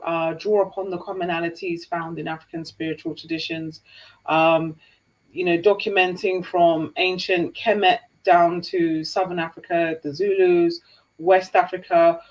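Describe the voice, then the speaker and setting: A woman from Jamaica co-hosting a live Q&A stream, reading out viewer questions in a brisk, upbeat way. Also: fairly low pitch, little pitch movement, rough recording. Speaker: co-host.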